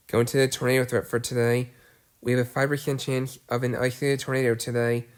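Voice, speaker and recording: A man with a cold, sounding congested, giving a severe weather update and reading out long lists of areas with some restarts. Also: medium pitch. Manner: plodding list-reading with restarts. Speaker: weather video host. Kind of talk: casual weather briefing.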